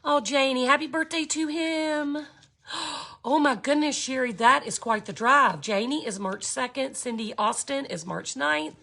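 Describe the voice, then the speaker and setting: Cheerful woman in her fifties; slow, bright-voiced livestream art teacher who chats with viewers as she works. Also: high voice, vocal fry. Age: 50s. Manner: chatty, cheerful, reads out viewer comments. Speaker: glass art instructor. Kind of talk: livestream craft tutorial chatting with viewers.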